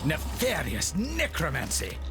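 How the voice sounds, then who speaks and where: A pompous British adventure-serial announcer for a video game. He is plummy and melodramatic, pitched fairly high and swooping wildly from line to line in 1930s newsreel style. Prominent music plays behind him. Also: huge pitch swings, a little slow, full character voice. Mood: alarmed, excited. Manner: plummy, melodramatic, heavily alliterative delivery. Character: excitable pompous old-time British adventure serial narrator, male.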